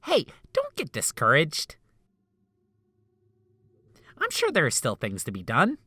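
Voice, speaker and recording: Man playing a goofy theatrical doctor, swooping pitch. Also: huge pitch swings, slow, bright, forceful tone, slightly rough, no echo, exaggerated voice. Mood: upbeat, casual. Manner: theatrical, exclamatory. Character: goofy, theatrical male memory-altering doctor, eager but weary. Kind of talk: video game fan dub acting.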